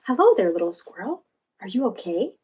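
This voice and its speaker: A woman voicing a calm, caring female friend in a children's storybook, guiding gently. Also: medium pitch, husky. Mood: gentle concern. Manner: gentle, slow guiding delivery.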